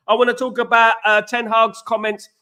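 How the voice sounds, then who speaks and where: A man's voice: very high voice, flat delivery, moderate pace, bright, forceful tone. A man from England hosting a casual livestream, chatty and greeting viewers by name, often repeating himself. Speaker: host.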